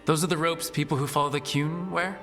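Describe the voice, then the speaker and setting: An actor playing a steady, encouraging leader of a band of heroes in a video game. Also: male voice, a little high, a little fast, soft background music. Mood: light, friendly.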